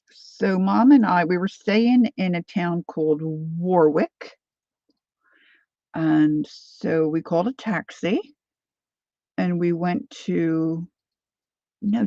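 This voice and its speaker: A woman in her sixties from Philadelphia talks slowly and rambles through a craft livestream, reading viewer comments aloud. Her voice has a gravelly rasp and carries her Philadelphia accent. The sound is dry and dull, like lo-fi livestream audio. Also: fairly low pitch, dark tone, no echo. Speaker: craft channel host. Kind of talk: casual livestream craft chat.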